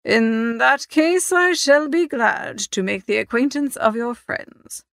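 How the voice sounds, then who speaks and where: A pompous old English duke from a comic storybook, acted out by a woman. The speech is stiff, formal and easily affronted, with a creaky edge in the voice. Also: fairly high pitch, animated, slow, a bit bright, dry recording, studio quality, full character voice. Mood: stiff, haughty. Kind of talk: audiobook character reading.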